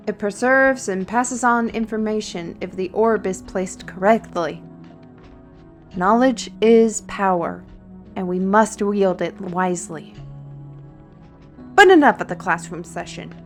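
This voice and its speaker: A woman's voice: mid-range voice, takes it slowly, faint music behind, lightly acted. A woman playing a wry, learned artisan steward in a fantasy audio roleplay, talking conversationally and instructively to the listener.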